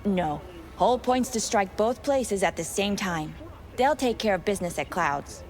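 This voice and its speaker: A woman's voice: mid-range voice, animated, normal speed, darkish tone, faint music behind, natural voice. Sardonic, jaded woman schemer in a game, acted. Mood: scornful, businesslike. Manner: sardonic, dismissive. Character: jaded, scornful woman plotting a gang takeover. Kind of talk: video game voice acting.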